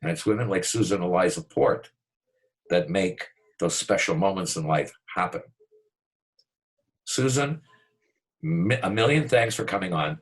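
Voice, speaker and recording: A man hosting an interview show, giving an expansive, flattering introduction and often repeating himself. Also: low voice, a little slow, pauses often, poor audio. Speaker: talk show host. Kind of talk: casual, effusive interview introduction.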